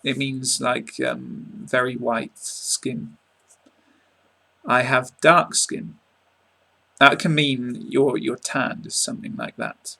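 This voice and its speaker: A young English man in his twenties with an English accent, giving an online lesson to a webcam in a small room, speaking in a clear, measured teaching manner with casual asides. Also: mid-range voice, bright, forceful tone. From England. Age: 20s. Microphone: webcam at close range.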